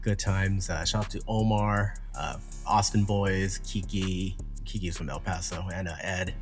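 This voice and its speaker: A man's voice: deep voice, steady tone, unhurried, soft background music. A man working as a DJ, chatting casually in a laid-back, slangy, swearing style. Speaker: DJ on a podcast. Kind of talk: casual chat.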